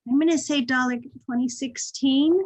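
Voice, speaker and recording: A woman's voice: sits high, fairly even intonation, normal speed. A woman hosting a casual online conversation with artists and encouraging her guests. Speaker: host. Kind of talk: casual video-call chat.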